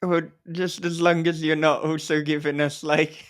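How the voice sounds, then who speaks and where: A man's voice: on the high side, level tone, normal speed. A man hosting a casual podcast interview in a jokey, rambling way, often chuckling through his phrases. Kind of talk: casual, joking interview chat.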